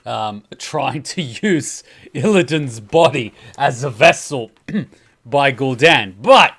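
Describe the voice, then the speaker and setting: A man's voice: mid-range voice, animated, slow, a bit bright, slightly rough. A man hosting with casual, unscripted reaction commentary. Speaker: host.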